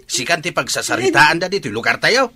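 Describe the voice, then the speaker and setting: A man's voice: fairly high pitch, huge pitch swings, fairly quick. An actor playing an angry, scornful father in a Filipino radio drama, with an Ilocano accent. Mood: furious. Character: angry, scornful father scolding his daughter.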